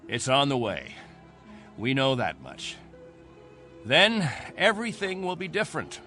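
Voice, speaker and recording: A stern and devout space-colony captain in a radio drama. His delivery is commanding and earnest, with a mid-pitched voice that moves expressively over a clear music bed. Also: huge pitch swings, unhurried, pushed and bright, heavily acted. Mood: hopeful.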